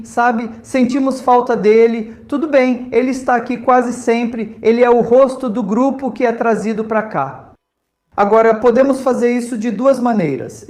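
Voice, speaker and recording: A man's voice: extremely high pitch, darkish tone. A man from India speaking as a volunteer host, giving an informal, conversational talk to visitors and often checking in with his listeners.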